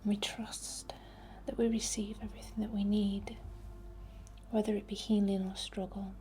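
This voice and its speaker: A woman whispering a ritual ASMR incantation in a breathy, deliberate way. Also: mid-range voice, level tone, dark tone. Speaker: ASMR witch and Reiki healer.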